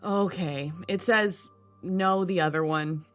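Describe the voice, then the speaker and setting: A woman's voice: on the low side, slow, a bit bright, no room sound, soft background music. A woman playing an earnest, flustered young circus adventurer in an audio drama, self-deprecating and a little hesitant. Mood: flustered, dejected. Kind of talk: audio drama character acting and narration.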